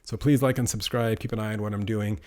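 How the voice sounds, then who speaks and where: A man's voice: lowish voice, steady tone, fast, darkish tone. A man hosting a podcast, talking casually and chattily, sometimes speeding through asides. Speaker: podcast host. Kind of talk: podcast monologue and interview.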